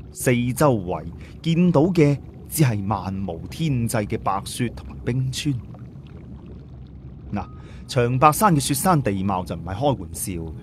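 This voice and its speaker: A man's voice: mid-range voice, a little mellow, a little hiss or hum. A man with a Cantonese accent narrating an audiobook story in a colloquial way, with conversational asides.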